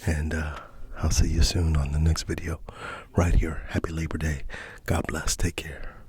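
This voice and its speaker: A man giving a soft-spoken, partly whispered ASMR ramble in a folksy, halting, repetitive way. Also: a bass voice, little pitch movement, husky. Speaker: ASMR host.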